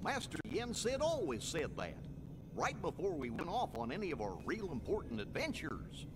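A man's voice: a little high, wildly swooping pitch, normal speed, noisy background. Goofy, drawling cartoon dog-man. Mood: earnest. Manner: drawling, folksy, good-natured. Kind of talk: animated video game cutscene. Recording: game audio with music behind.

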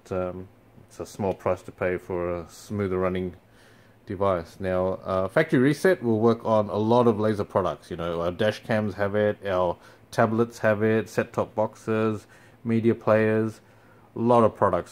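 A young man, casually walking viewers through resetting a device, with plenty of ums along the way. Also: on the low side. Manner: relaxed, many fillers. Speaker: company product presenter. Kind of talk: casual how-to walkthrough. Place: indoors in front of a TV screen.

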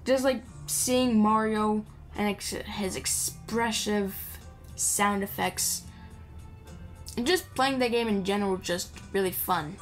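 A woman's voice: medium pitch, takes it slowly, soft background music. A woman giving casual, playful, rambling commentary while playing a video game.

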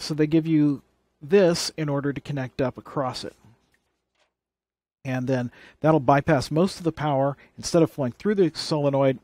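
A man's voice: medium pitch, dry recording, clean studio sound. A man presenting a hands-on electronics how-to, explaining things plainly and step by step. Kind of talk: hands-on tutorial demonstration.

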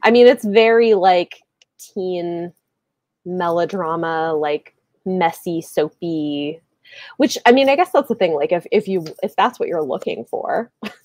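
A woman's voice: on the low side, expressive, a little slow, darkish tone, dry recording. A woman in her thirties chatting casually about books on a video call, hedging as she goes and often saying "like". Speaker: book channel host. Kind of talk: casual book discussion on a live video call. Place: small indoor room with bookshelves. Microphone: earbuds on a video call.

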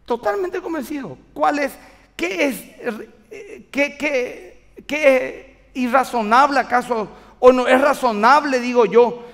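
A man in his forties with a Paraguayan accent, preaching a sermon on a church stage, acting out dialogue with lively gestures. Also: very high-pitched, takes it slowly. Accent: Paraguayan Spanish. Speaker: pastor. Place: large church stage with live congregation. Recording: church hall reverb.

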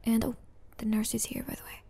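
A woman playing an apologetic, overwhelmed young female student in an ASMR roleplay, with a soft, whispery, hesitant voice. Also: fairly high pitch, completely flat delivery. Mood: gentle, reassuring. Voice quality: soft, breathy, often whispery. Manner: hesitant, halting.

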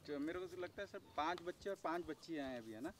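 A man's voice: fairly high pitch, fast talker, fairly bright tone, a little hiss or hum. A man interviewing a farm worker outdoors at a horse farm, prompting him with questions and repeating answers back. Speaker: interviewer, channel host. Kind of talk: casual on-site interview.

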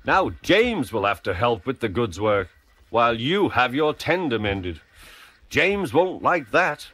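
A man voicing a stern, pompous middle-aged railway boss in a measured manner, in a storybook reading. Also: medium pitch, very animated, a bit bright, dry recording.

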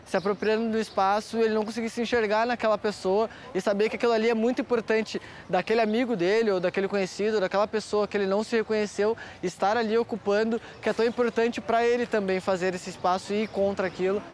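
A man's voice: high-pitched, steady tone, fast talker, hardly any pauses, a little hiss or hum. A Brazilian man in his twenties talking casually in an outdoor interview. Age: 20s. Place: outdoors on a busy campus square.

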